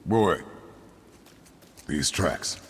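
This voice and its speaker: An actor playing a stern warrior father in a video game, speaking in terse, commanding sentences with a gravelly voice. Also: a bass voice, lively intonation, very slow, slight background noise, heavily acted. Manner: terse, commanding, few words.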